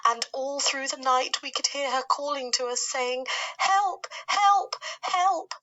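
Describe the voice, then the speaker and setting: A woman's voice: sits high, slow pace, bright, forceful tone, dull audio, voice pushed up, exaggerated voice. A woman playing a dramatic, doleful female spider from a children's storybook, gushing and lamenting. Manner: gushing, self-correcting, theatrical.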